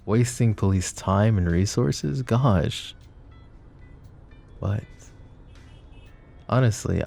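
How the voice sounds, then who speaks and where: A man's voice: deep voice, dark tone, full character voice. A man voicing a suave, possessive mafia boss who flirts with the listener in a polished, courteous way that carries an undertone of menace. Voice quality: smooth, courteous, teasing menace. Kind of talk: roleplay audio drama, addressing the listener.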